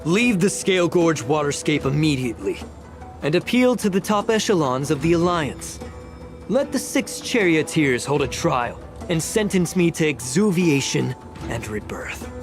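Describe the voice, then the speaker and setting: An actor playing a scheming, self-righteous clan elder villain in a video game, speaking in a haughty, theatrical, formal way. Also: male voice, a little high, very animated, moderate pace. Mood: smug, formal. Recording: game music behind the voice.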